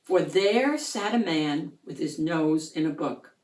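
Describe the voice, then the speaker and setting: Unhurried woman reading a picture book. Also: sits low. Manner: sing-song, expressive rhyming delivery. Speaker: children's book reader. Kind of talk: picture-book read-aloud. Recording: roomy echo, distant mic.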